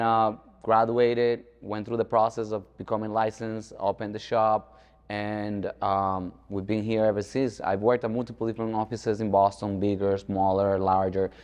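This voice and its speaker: A man's voice: lowish voice, a little mellow. A man from the Dominican Republic talking informally as an architect guest in an interview, often checking in with the listener. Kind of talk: conversational interview. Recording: echoey hall-like reverb.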